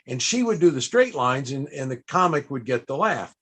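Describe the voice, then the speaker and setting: A man hosting a panel, telling stories conversationally to an audience, with halting, searching pauses. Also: mid-range voice, very animated, fairly bright tone, husky. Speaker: panel host and interviewer.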